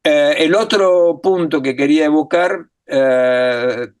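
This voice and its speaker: A man's voice: a little high, slow, a little mellow, smooth. A man giving an academic talk in a language that is not his first, thinking aloud with many fillers and tag questions. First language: not Spanish. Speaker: lecturer.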